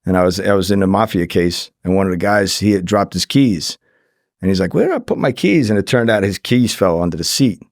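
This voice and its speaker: A man's voice: sits low, animated, fairly quick, dry recording, studio quality. A middle-aged man telling anecdotes casually as a podcast guest, acting out the dialogue and swearing freely. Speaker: podcast guest, former undercover agent.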